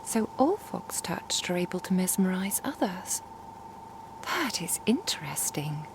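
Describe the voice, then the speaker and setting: A woman playing a curious, composed traveling merchant and master in an ASMR roleplay, speaking in a measured, formal, inquisitive way. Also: on the low side, very animated, poor audio. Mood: intrigued, gentle. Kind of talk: ASMR roleplay audio drama.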